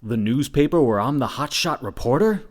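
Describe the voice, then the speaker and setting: An actor playing an earnest, dim young reporter in a comedic radio play, delivering lines in a deadpan, earnest manner. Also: male voice, mid-range voice, moderate pace, natural voice.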